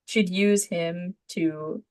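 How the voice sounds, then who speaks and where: A woman's voice: lowish voice, steady tone, extremely slow. A woman student giving thoughtful answers in casual chat, tentatively searching for her words. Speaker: voice acting student.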